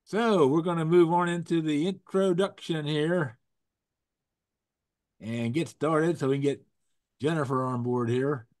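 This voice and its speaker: A man's voice: fairly high pitch, fairly even intonation, measured pace, pauses often, a little mellow, no room sound. A man hosting a casual video-call talk show, getting over a cold and sounding froggy, rambling with restarts and corny puns. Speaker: host. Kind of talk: casual chat with jokes.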